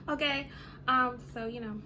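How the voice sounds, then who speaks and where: A woman in her thirties chats to her vlog audience in a loose, rambling way, full of "you know" and "um". Her voice sits on the higher side. A clear hum of background noise runs behind her. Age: 30s. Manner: chatty, many fillers like "you know" and "um". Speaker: vlogger. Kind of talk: casual rant to camera.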